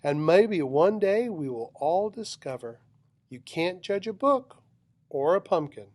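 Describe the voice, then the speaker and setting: A man's voice: high-pitched, sing-song, measured pace, darkish tone. A man reading a children's picture book aloud with dramatic, rhythmic delivery of rhyming verse. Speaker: storybook reader.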